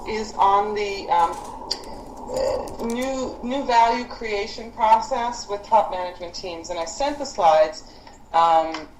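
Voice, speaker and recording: Low-voiced woman on an echoey conference call. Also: a little hiss or hum. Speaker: program leader briefing student project teams. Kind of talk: conference call briefing, instructional.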